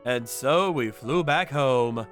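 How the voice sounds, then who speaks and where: A man's voice: medium pitch, takes it slowly, a bit bright. A man voicing a ruthless underworld avenger in a manga dub, menacing and theatrical as he narrates. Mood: grim narration. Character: ruthless male underworld avenger narrating his torture jobs. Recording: background music under voice.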